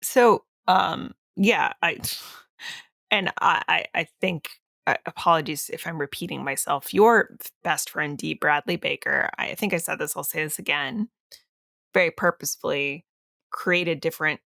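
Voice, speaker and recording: A woman with a low, creaky voice chats casually as a podcast host. She is effusive and prone to giggling, feeling her way through her sentences. The recording is dry and close. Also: normal speed, a bit bright, no room sound. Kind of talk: casual fan podcast chat.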